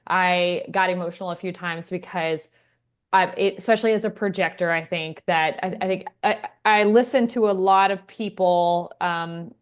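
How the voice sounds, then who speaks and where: A woman's voice: fairly low pitch, fairly even intonation, normal speed, clean tone. A woman hosting an interview, speaking in a reflective, conversational way as a coach. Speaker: host, coach.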